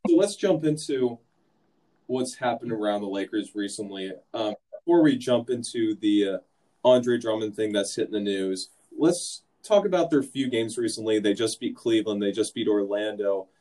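A man's voice: lowish voice, animated, moderate pace, dark tone. A man hosting a casual sports podcast, laid-back and joking. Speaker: podcast host.

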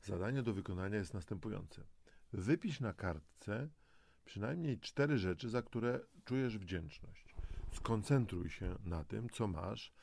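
A man's voice: on the low side, lively intonation, unhurried, vocal fry, a little mellow. A man co-presenting a self-help program, reading out instructions and dropping in informal asides.

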